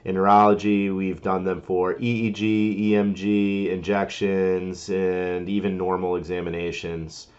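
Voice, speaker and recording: Male tutorial presenter, lowish pitch, informal and explanatory, walking through steps, on a somewhat muffled lo-fi recording. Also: little pitch movement, a little slow, hardly any pauses, darkish tone. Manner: informal, explanatory, talking through each step. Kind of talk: casual step-by-step screen-recording tutorial.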